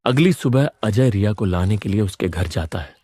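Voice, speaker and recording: A man's voice: lowish voice, animated, moderate pace, dark tone, breathy edge. A man reading scripted narration for a moral story as its narrator.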